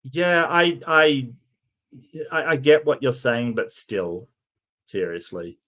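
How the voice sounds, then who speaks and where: A man hosting a solo stream, talking in a casual way. His voice is croaky and tired, and he often stammers and repeats his first words. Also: mid-range voice, big pitch swings, takes it slowly, a bit bright. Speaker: streamer host.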